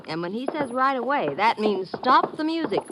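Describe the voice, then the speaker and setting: An American woman playing an efficient, brisk office secretary in 1950s radio drama. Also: a little high, sing-song. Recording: noisy, clicky vintage radio recording.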